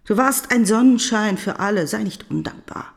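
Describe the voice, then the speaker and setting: An actress playing a middle-aged, worried mother of a returned soldier in a radio drama, plaintive and gently reproachful. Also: medium pitch, animated, moderate pace, vocal fry. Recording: faint music behind the voice. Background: faint music.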